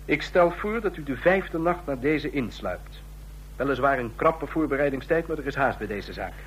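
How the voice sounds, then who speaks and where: An actor in a radio play playing a stern, condescending ambassador of a fascist state, speaking in formal, measured officialese. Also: male voice, medium pitch, expressive, lightly acted, poor audio. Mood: businesslike, commanding. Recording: clear hum and noise, old radio recording.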